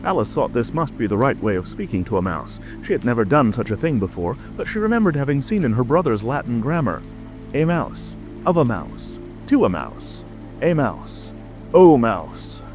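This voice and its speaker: A man's voice: mid-range voice, big pitch swings, moderate pace. A man narrating a scripted storybook as a dramatic audiobook reading. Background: music, rain ambience.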